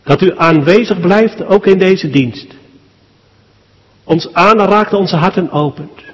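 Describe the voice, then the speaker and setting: Male pastor, slightly high voice, very unhurried, solemn prayer in measured fragments. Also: lo-fi sound. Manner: solemn, measured, in short phrases with deliberate pauses. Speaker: pastor leading a funeral service. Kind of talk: spoken public prayer at a church thanksgiving service. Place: church. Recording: long church hall reverb.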